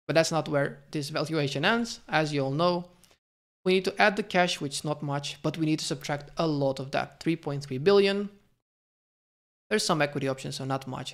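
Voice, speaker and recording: A man's voice: fairly high pitch, gravelly. A young man hosting a finance channel, talking through a stock valuation over slides and thinking aloud with fillers, restarts and careful hedging. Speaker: finance video host.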